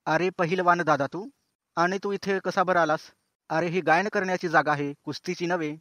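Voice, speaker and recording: A man's voice: high voice, steady tone, moderate pace, bright, forceful tone, no echo. A man playing the chatty, teasing host of a cartoon singing contest, talking in a folksy, colloquial way. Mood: breezy, bantering. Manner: colloquial, folksy, addressing viewers as friends. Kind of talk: comic cartoon show presenting.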